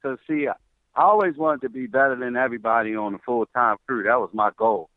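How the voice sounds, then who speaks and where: A man's voice: medium pitch, a little fast, no room sound. A man calling in as a guest, plain-spoken and informal as he remembers hard work. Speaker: guest, former grounds crew member. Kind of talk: casual chat. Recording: tinny phone-line call.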